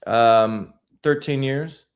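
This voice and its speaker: Mid-pitched man telling a story. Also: very slow. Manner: storytelling, rambling with restarts and "like". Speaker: guest filmmaker. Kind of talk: casual interview chat.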